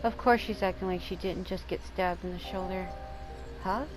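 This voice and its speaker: A woman's voice: on the low side, fairly even intonation, normal speed, poor audio. A woman giving blunt, wry, casual commentary as she follows a game story. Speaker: gamer reading and reacting to a story quest. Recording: game music behind.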